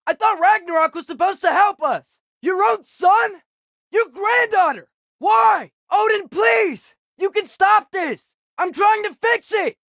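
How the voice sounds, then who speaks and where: A man's voice: extremely high pitch, animated, a little slow, gravelly, fairly bright tone, no echo, shouting, studio quality, heavily acted. A young male actor playing an earnest, restless teenage boy adventurer with an American accent in a video game. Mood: urgent, desperate. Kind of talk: video game character dialogue.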